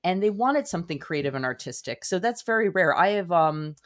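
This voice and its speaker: A woman's voice: fairly low pitch, animated, brisk. A woman talks conversationally as a guest in an interview. She is effusive and leans on her words with emphasis, like a writer explaining her craft. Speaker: guest, playwright.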